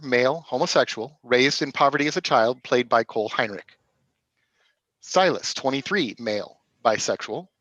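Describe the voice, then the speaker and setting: A man reading a cast list aloud for a virtual staged reading, measured and list-like. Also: medium pitch, pushed and bright, dry recording. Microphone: video call. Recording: muffled lo-fi video call audio.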